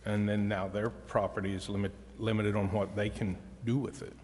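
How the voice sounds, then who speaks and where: A man's voice: lowish voice, little pitch movement, normal speed, darkish tone. A man speaking informally as a council member, putting questions in a public meeting. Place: council chamber. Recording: reverberant meeting hall.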